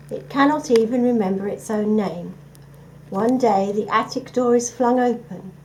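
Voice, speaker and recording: Slow, expressive woman storyteller. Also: mid-range voice, slight background noise, rough recording. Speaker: storybook reader. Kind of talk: scripted children's story narration.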